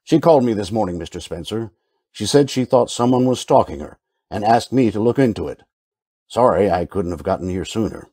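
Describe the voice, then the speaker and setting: Low, mellow, hardboiled private eye played by a man. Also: lively intonation, brisk, husky. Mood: businesslike. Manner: dry, sardonic. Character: wry, world-weary, hardboiled male private eye. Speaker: lead actor and narrator. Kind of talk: old-time radio noir detective narration.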